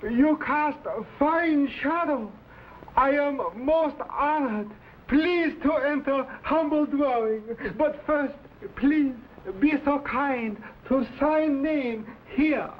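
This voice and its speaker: An actor playing a sly, fawning Chinese innkeeper in a stage Chinese accent, with stilted, humble broken English in a vintage adventure serial. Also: male voice, very high voice, takes it slowly. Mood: fawning welcome. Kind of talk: 1950s television adventure serial acting. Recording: thin phone-like old broadcast, clearly noisy.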